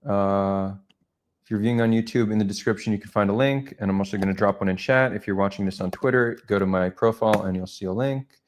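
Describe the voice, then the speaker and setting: A man's voice: lowish voice, fast, noisy background. A man hosting a casual livestream chat, talking loosely with lots of fillers and restarts. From United States. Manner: rambling, many fillers and restarts. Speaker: livestream host.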